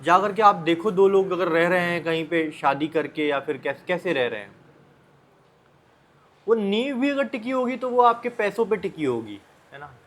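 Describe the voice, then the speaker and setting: An Indian man with a notably high-pitched voice gives informal advice as a vlogger. He speaks bluntly and directly to the listener, often repeating phrases and posing rhetorical questions. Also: animated, normal speed, fairly bright tone. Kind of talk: informal motivational advice talk to the viewer.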